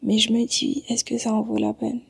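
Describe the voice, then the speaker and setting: A woman from Senegal vlogging at home while sick and tired, talking in a low-key, weary way. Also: monotone, moderate pace, gravelly, husky, no echo, rough recording. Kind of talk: casual vlog talk. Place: at home in Montreal.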